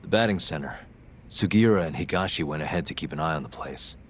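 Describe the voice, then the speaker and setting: A man's voice: extremely low pitch, fast talker, breathy edge. A man playing a cool, wry, determined detective in a video game cutscene. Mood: focused.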